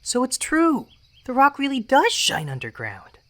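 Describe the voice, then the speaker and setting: Eager young tomcat apprentice played by a man, inquisitive, animated pitch, youthful storybook feel. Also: measured pace, exaggerated voice. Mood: eager, amazed. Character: curious, upbeat young male apprentice cat. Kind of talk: fandub audiobook voice acting.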